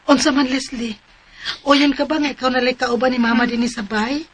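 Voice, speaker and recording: A woman's voice: high voice, steady tone, brisk, slight background noise, close to an everyday voice. A woman with a Cebuano accent playing an elderly widowed mother in a radio drama, fretful and scolding. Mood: worried. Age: older.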